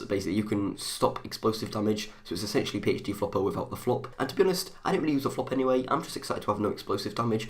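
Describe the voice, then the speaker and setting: Fast-talking young man with a blocked nose. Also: on the low side. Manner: fast, rambling, thinks aloud. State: bunged up with a runny nose. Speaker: gaming video host. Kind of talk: rushed gaming commentary.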